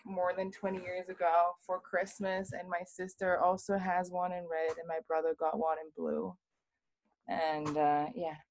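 A woman's voice: fairly low pitch, poor audio. A woman, speaking English on a video call, thoughtful and searching for words. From Munich, Germany. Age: 30s. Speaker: participant. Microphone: laptop webcam on video call.

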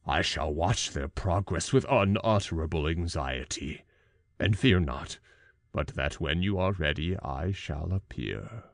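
A man's voice: low voice, expressive, a little slow, gravelly, dry recording, voice pushed down, exaggerated voice. A man voicing an anguished, menacing monster in a gothic audiobook, bitter and pleading, with a grandly emphatic oratorical delivery. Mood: fervent, solemn. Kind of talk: gothic audiobook character reading.

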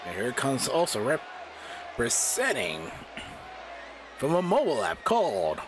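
Hoarse, giggly male wrestling announcer. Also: medium pitch, wildly swooping pitch, unhurried, a crowd in the background, background noise. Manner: giggly, chatty, jokes with chat. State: losing his voice. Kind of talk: playful wrestling ring announcing and commentary.

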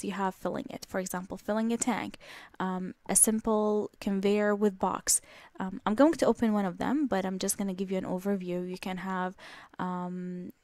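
A woman talks through a software tutorial in a relaxed, explanatory way, pausing often on ums and restarting phrases. Her voice sits in a middle range and sounds dry and close, with no room around it. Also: medium pitch, no echo. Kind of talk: screen-recorded software walkthrough.

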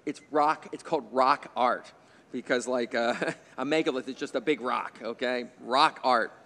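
A man's voice: mid-range voice, normal speed, pushed and bright, in a large hall. A man in his fifties moderating a quiz bowl from a studio desk mic, reading questions with chatty, jokey asides. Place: indoor TV studio stage. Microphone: desk microphone on a stand.

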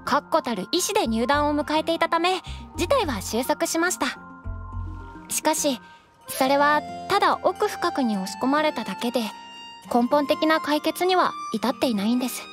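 A kindly young tavern waitress in an anime fantasy game. She has a high voice and speaks in an earnest, measured, courteous way. Faint music plays underneath. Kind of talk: Japanese anime game voice acting.

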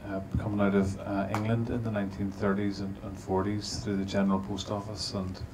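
A man's voice: lowish voice, monotone, brisk, background noise. A middle-aged man chairing a panel on a cinema stage, asking long questions full of restarts. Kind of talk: interviewing a guest on stage. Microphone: handheld mic.

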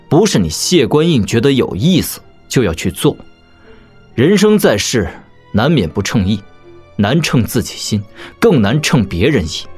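An actor playing a proud young warrior prince in an audio drama, measured, cutting and mock-polite. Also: male voice, lowish voice, darkish tone, breathy edge, full character voice.

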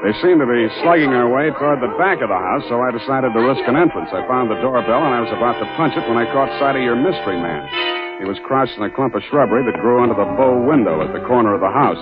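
A cynical private eye of 1940s radio drama, played by a man, tells his case with dry wisecracks. His voice is mid-pitched and deadpan, on a dull vintage recording with music clearly behind it. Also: fast talker, close to an everyday voice. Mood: wry, narrating. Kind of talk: 1940s radio detective acting.

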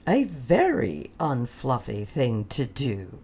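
A woman reading a children's story aloud in an expressive way, with deliberate dramatic pauses. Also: very deep voice, a little hiss or hum. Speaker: storybook reader.

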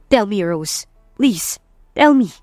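A woman's voice: medium pitch, expressive, takes it slowly, a little mellow, dry recording, clean studio sound, faint music behind. A woman voicing an earnest, emotional young man in a romance audiobook, switching between Tagalog and English. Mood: urgent, pleading. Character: Samuel, earnest wealthy young man defying his parents. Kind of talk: audiobook romance drama acting.

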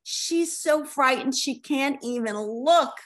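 A woman's voice: high-pitched, slow. A middle-aged woman reading a picture book aloud expressively, with questioning asides to children, in a room at home. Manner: expressive, asks the listeners questions. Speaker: children's author and storyteller. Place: indoors, attic-style room at home. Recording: roomy home recording.

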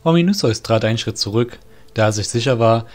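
A man's voice: mid-range voice, expressive, fairly quick, darkish tone. A man narrating a fantasy audio drama in a scripted storytelling style. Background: faint music.

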